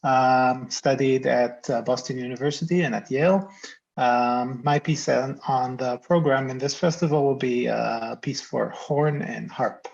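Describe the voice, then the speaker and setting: A composer from the United States speaking reflectively on a video call, searching for words. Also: male voice, medium pitch, steady tone, measured pace, gravelly, darkish tone. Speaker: composer guest. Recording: dull lo-fi call audio.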